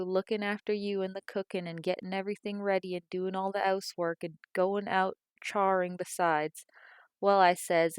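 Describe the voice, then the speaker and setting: A woman's voice: clean tone, no room sound, natural voice. A woman voicing a cantankerous, self-pitying old working-class mother with a Cockney accent, complaining and scolding. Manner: complaining, shrill when angry.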